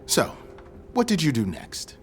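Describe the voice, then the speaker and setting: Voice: medium pitch, huge pitch swings, measured pace, slightly rough, long reverb tail, soft background music, exaggerated voice. A performer in an audio drama playing a stern, sardonic interrogator who questions in a pointed, insinuating way. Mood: accusing, sardonic. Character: stern, sardonic interrogator pressing a witness with suspicion. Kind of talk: audio drama acting, formal cross-examination.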